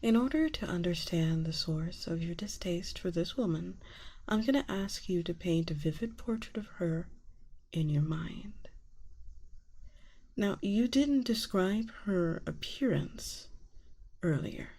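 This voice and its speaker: ASMR roleplay therapist, acted by a woman: very slow, lowish female voice, soothing and quietly manipulative, slipping into half-whispers. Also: expressive. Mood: soothing, hypnotic. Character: soothing, subtly manipulative female therapist guiding hypnotic relaxation.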